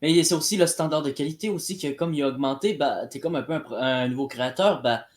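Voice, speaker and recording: A man's voice: a little high, fast. A man talking colloquially and bluntly in a casual, unscripted debate. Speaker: podcast debate participant. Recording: echoey, roomy sound.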